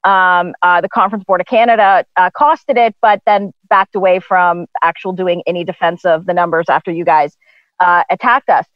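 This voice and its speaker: A woman on a political panel over a video call, arguing briskly with many restarts. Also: fairly low pitch, fairly even intonation, dry recording, muffled recording.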